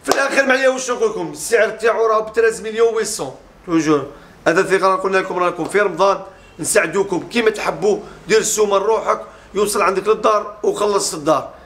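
A man in his thirties with an Algerian accent, mixing in French words as he presents a sofa set in a small furniture showroom with an upbeat, laughing sales pitch. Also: very fast, a bit bright. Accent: Algerian Arabic with French mixed in. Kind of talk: casual product showcase video.

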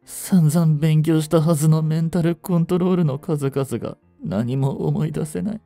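An actor playing a young genius swindler in a romantic audio drama, lovestruck, jealous and boyishly flustered, speaking politely. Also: male voice, fairly high pitch, steady tone, moderate pace, vocal fry, mellow, faint music behind. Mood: dejected, confused. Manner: polite speech, stammering when flustered. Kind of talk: romantic audio drama acting, addressed to the listener.

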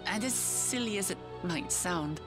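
A woman's voice: medium pitch, expressive, a little slow, over music, natural voice. An actress playing a young, idealistic lion-woman warrior princess in a video game, speaking earnestly and from the heart. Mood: reflective, sincere. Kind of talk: voiced game cutscene dialogue.

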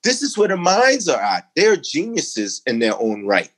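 A middle-aged man from the Bahamas with a Bahamian accent, a guest in a conversational interview, talking emphatically and climbing in pitch when he makes a point. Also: wildly swooping pitch, a little slow, fairly bright tone. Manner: emphatic, rising to a preaching lilt when making a point.

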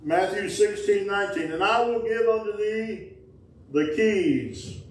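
A middle-aged man, preaching a sermon in a folksy call-and-response style, with sudden loud bursts. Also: sits high, measured pace. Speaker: pastor. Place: small wooden-walled church sanctuary. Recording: echoey church from a distance.

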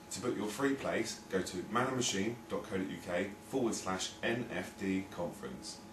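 A young British man presenting a software tutorial, explaining informally step by step in a husky voice. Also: on the low side, noisy background. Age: young adult.

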